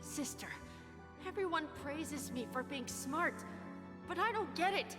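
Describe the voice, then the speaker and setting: A clever, defiant little boy for a video game, speaks in a high, childlike voice. He is a devoted younger brother, heard in long reverb over music. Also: animated, unhurried. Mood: solemn, questioning.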